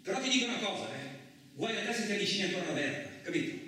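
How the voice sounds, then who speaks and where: An actor playing a coarse, suspicious, lonely truck driver in a radio theatre monologue, rambling colloquially with frequent questioning interjections. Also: male voice, high voice, very animated, rapid-fire, bright, forceful tone, exaggerated voice. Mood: threatening. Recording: very echoey, distant, noisy room recording.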